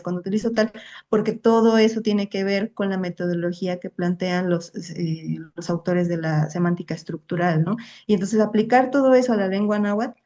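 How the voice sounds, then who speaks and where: A woman's voice: mid-range voice, mellow. A young woman, answering questions about her research in an online talk. She speaks carefully, restarting phrases and tagging statements with a questioning "no". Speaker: invited lecturer. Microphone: online video call.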